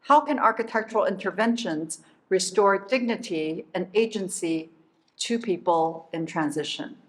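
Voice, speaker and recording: A woman hosting a lecture who reads a formal prepared introduction and slips into fillers when she goes off script. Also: on the low side. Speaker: faculty host introducing a speaker. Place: lecture hall.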